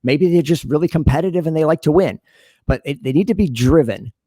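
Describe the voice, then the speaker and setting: A man's voice: fairly high pitch, a little fast, mellow, slightly rough, no echo. Man giving earnest interview answers, close dry sound. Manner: earnest explaining, acts out imagined dialogue. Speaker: podcast guest, sales leader and business consultant.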